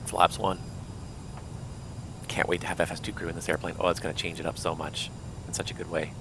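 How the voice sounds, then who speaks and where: A man flying a simulated airliner, thinking aloud and calling out checklists, with a voice that is going hoarse. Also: sits low, flat delivery, a little fast, background noise. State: losing his voice. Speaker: flight simulator pilot. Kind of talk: casual cockpit commentary.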